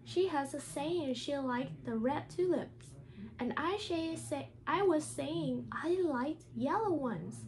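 A woman speaks in careful, halting non-native English, in the style of a teacher reading a children's storybook aloud. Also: high-pitched, expressive, darkish tone, a little hiss or hum, low-quality recording.

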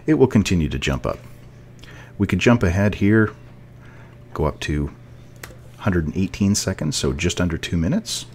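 A man's voice: low voice, animated, moderate pace, long pauses, a little mellow, slight background noise. A man giving a casual, step-by-step tutorial narration as a programming instructor, explaining as he goes.

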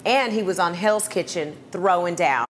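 A woman's voice: on the low side, normal speed, slight background noise. A middle-aged woman with an American accent presents casually and enthusiastically as a food show host, gushing and exclamatory. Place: restaurant bar indoors.

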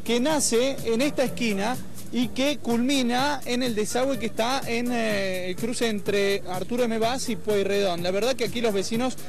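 A man's voice: extremely high pitch, moderate pace, vocal fry, music in the background. A young man with an Argentine accent from Córdoba, reporting live on a street as a TV reporter with a handheld microphone. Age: young adult. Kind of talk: live news reporting and interviewing. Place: outdoors on a city street.

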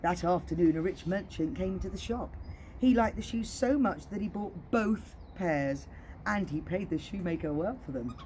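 A woman, around her forties, reading a children's story in a playful, expressive way, with chatty asides like a children's entertainer. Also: fairly low pitch, moderate pace, a little hiss or hum. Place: outdoor garden.

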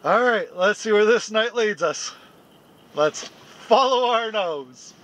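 A man in his forties with a fairly high, bright voice talks slowly and easily to his camera during a winter camp. He chuckles often and rambles with lots of fillers, speaking close to the lens, first outdoors in the snow and then inside a small tent. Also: expressive. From Canada. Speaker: camping vlogger. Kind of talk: casual vlog chat to camera. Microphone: handheld camera close up, then fixed camera a few feet away.

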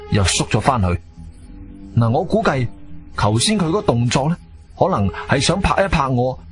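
Male actor as wronged adventurer, radio drama narration, composed yet dramatic, lively intonation, music behind. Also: fairly high pitch, close to an everyday voice. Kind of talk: first-person radio drama narration and dialogue.

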